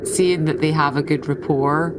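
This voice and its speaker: A woman's voice: deep voice, fairly even intonation, normal speed. A woman playing a tabletop role-playing game, casually describing what her character does. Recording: heavy noise on the recording.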